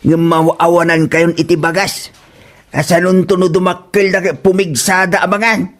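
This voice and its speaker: A man plays an older Ilocano father in a comedy drama. His voice sits high for a man, and he nags and scolds in exasperation. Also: little pitch movement, moderate pace, natural voice. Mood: scolding.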